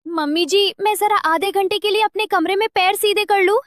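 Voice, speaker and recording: A worn-out young daughter-in-law, voiced by a woman, pleads in a very high, girlish voice. The sound is dry and close-miked. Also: fairly even intonation, moderate pace, dry recording. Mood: weary. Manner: weary, pleading. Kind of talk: cartoon story dubbing.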